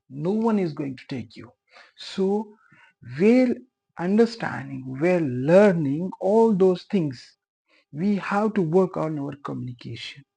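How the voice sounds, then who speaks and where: A young man whose first language is Urdu teaches beginners. He speaks slowly and earnestly, repeats phrases and often pauses with fillers. His voice is gravelly, husky and dark. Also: fairly high pitch. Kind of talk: explaining a tutorial.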